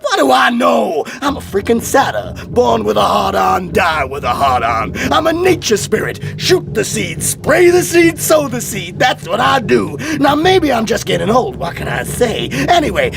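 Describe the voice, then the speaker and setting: An actor playing a bawdy, swaggering aging satyr, a brash fast-talking hustler in a comedy audio drama. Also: male voice, high-pitched, gravelly, full character voice. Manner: brash, salesman-like, self-regarding.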